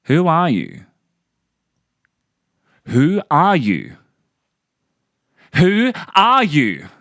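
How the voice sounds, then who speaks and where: A man's voice: on the high side, wildly swooping pitch, very slow, a bit bright, dry recording, clean studio sound, pitched above the performer's own voice, full character voice. A man playing an agitated, paranoid figure who insistently confronts an unseen watcher in a dramatic essay. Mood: frantic, demanding.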